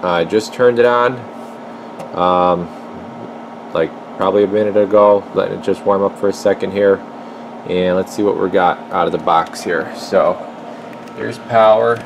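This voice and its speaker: A slow-talking man with a very low voice hosts a casual repair video from his workbench. He thinks aloud as he goes, in an unhurried way. A clear, steady noise hums behind him in the workshop. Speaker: radio repair technician and video host. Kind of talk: casual hands-on repair commentary. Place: indoor electronics workshop bench. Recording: noisy workshop with steady background hum.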